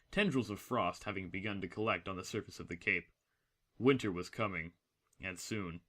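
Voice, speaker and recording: A man giving a scripted, solemn and measured narration of a dramatic story. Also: lowish voice, sharp tone.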